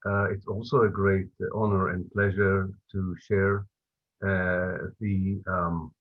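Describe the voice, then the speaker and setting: A man speaking as a guest on a webinar, thanking his hosts slowly and hesitantly with many fillers. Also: low voice, flat delivery. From Israel. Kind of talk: opening remarks on a webinar. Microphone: video call. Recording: tinny video-call audio.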